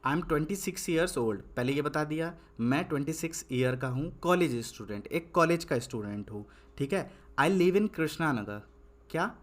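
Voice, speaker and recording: A young man giving an English lesson in Hindi. He asks questions and answers them himself, repeating points. When writing he slows to dictate one word at a time. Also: a little high, expressive. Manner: repetitive, asks and answers his own questions. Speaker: English tutor.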